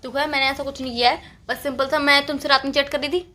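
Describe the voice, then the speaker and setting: A woman's voice: high-pitched, steady tone, racing, sharp tone. A young woman playing a girlfriend on a staged couple phone call, talking in an urgent, insistent and dramatic way.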